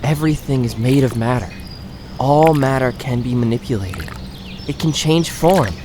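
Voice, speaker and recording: Male actor as a young dragon lord: mid-pitched, encouraging, a touch formal; noisy recording. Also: lively intonation, normal speed, close to an everyday voice. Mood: gentle teasing. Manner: gentle, encouraging, a little formal. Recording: hiss-laden recording with bird ambience.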